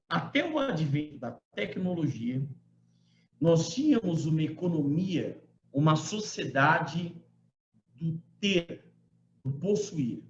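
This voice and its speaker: A man's voice: a little high, a little hiss or hum. Man in his forties lecturing over a call. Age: 40s. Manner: conversational, frequent tag questions, emphatic quoting. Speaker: law professor giving a lecture. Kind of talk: online lecture to students. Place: home room. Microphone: computer mic on a video call. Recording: roomy, muffled video-call audio.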